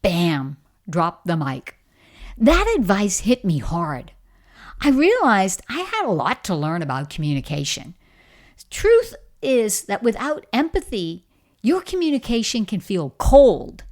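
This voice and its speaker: A middle-aged woman with a Bahamian accent giving an encouraging, emphatic motivational talk on leadership. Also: mid-range voice. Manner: warm, encouraging, emphatic storytelling. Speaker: leadership coach.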